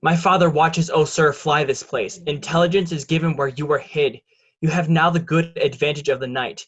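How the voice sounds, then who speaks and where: A man's voice: a little high, steady tone, moderate pace, close to an everyday voice. A man playing a scheming, urgent young nobleman and treacherous brother in a Shakespeare reading. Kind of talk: Shakespearean reader's theatre acting. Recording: dull, lo-fi voice recording.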